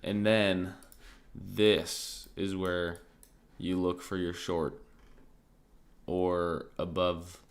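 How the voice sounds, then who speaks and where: Slow, low-voiced man, a bit raspy. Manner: relaxed, thinking aloud, many fillers like "like" and "um". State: slightly raspy, clearing his throat. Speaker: crypto trading streamer. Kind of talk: casual live-stream market commentary.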